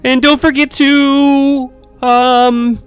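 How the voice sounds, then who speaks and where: A squeaky, fretful young boy. The voice is extremely high and nagging, over faint music. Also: flat delivery, extremely slow, exaggerated voice. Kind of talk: storytelling character voice.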